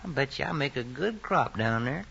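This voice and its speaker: A man's voice: mid-range voice, animated, moderate pace, creaky, a bit bright, slightly rough, full character voice. An actor playing an easygoing, optimistic farmer father in an old rural drama, with a folksy Southern American way of talking. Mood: easygoing, hopeful. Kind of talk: vintage rural screen drama acting. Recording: dull old film soundtrack.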